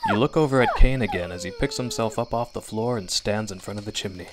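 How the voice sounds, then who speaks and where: A man's voice: fairly low pitch, lively intonation, quick pace, heavy background noise. A man narrating a scripted horror story in a dramatic, suspenseful radio drama manner. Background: music.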